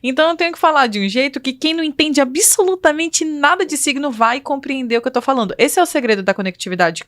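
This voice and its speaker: Fast-talking woman co-hosting a podcast. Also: high-pitched, lively intonation, a bit bright, no echo, clean studio sound. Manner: chatty, quick to jump in with remarks. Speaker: podcast co-host. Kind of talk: casual podcast chat.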